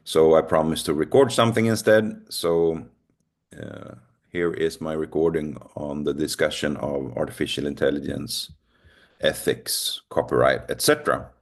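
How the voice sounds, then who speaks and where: Low-voiced Swedish man lecturing, hesitant. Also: fairly even intonation, moderate pace. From Sweden. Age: middle-aged. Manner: hesitant, many ums and uhs, self-deprecating. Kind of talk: recorded remote lecture.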